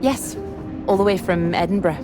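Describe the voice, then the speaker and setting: An actress playing a composed, friendly female academic in a game drama, polite and gently wry in manner. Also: normal speed, close to an everyday voice. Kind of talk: naturalistic game drama acting. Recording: music over the dialogue. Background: music, vehicle rumble.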